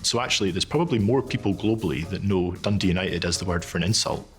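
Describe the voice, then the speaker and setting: A man narrates a documentary in a low voice, talking quickly and earnestly. Faint music runs under his words. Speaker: investigative journalist.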